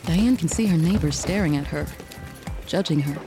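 Female narrator for scripted drama, slightly low voice over soft music. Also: normal speed, poor audio.

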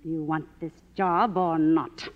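A woman's voice: low voice, steady tone, measured pace. A woman playing an imperious, vain and volatile faded film star, with grand theatrical delivery in a 1950s radio drama. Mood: proud, indignant. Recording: muffled old radio broadcast, reverberant.

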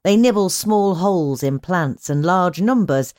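Lively low-pitched woman narrator. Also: no echo, clean studio sound. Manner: measured, instructional, clearly phrased reading. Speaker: magazine audio edition narrator. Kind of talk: scripted narration, read magazine articles.

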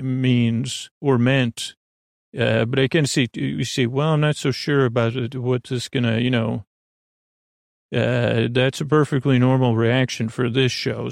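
A man with a creaky voice hosting a sleep podcast, talking in a meandering, hesitant monologue that trails off into tangents. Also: mid-range voice, dry recording, studio quality. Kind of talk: rambling monologue to help listeners sleep.

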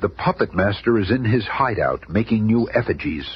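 A man's voice: lowish voice, animated, normal speed, natural voice. A man narrating a superhero radio serial in a grandiose, theatrical storytelling style. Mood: suspenseful. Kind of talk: dramatic scripted radio narration. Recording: noisy, muffled old radio recording.

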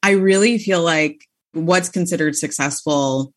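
A woman's voice: fairly low pitch, a little slow. A woman author chatting as a podcast guest, talking freely with many "like" fillers and laughing easily. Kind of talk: casual interview chat.

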